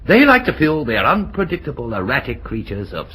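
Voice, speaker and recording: An actor playing a self-assured, urbane, middle-aged professor with a condescending manner in an old-time radio drama. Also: male voice, medium pitch, huge pitch swings, brisk, sharp tone, slightly rough, full character voice. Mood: smug. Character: suave, self-assured older professor and fiancé, mature, smooth-talking.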